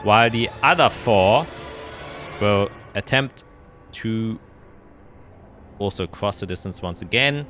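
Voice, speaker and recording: A man's voice: lowish voice, takes it slowly, long pauses, fairly bright tone, soft background music. A man narrating a tabletop roleplaying game as game master, describing scenes and calling out dice results.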